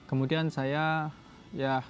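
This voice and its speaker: A young adult man from East Java, telling a personal story casually in a halting way, often correcting himself. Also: fairly high pitch, little pitch movement, slight background noise. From Jombang, East Java, Indonesia. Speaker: doctoral student telling his story.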